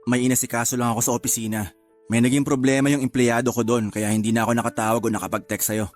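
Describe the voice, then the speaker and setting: A man's voice: mid-range voice, flat delivery, quick pace, faint music behind, lightly acted. A man playing an evasive, defensive husband in a Filipino radio drama. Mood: casual, evasive.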